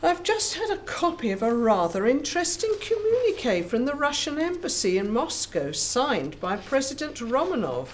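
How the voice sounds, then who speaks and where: A woman acts an imperious opposition politician in a radio drama. Her pitch swoops widely as she confronts and lectures. Faint ambience sits behind her. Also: sing-song, moderate pace, few pauses, slight background noise. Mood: haughty. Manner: haughty, confrontational. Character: indignant, imperious female political opponent.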